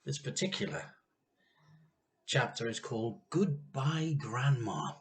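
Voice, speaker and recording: A British man, a teacher reading a children's story aloud in a dramatic, deliberate way. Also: mid-range voice, big pitch swings. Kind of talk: animated children's storybook narration.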